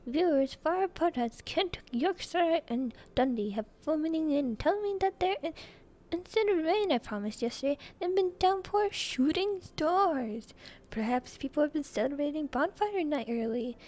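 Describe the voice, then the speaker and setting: A woman voicing a chatty, folksy male TV weatherman in a put-on accent, with a halting delivery. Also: high-pitched, lively intonation, a little mellow, voice pushed up.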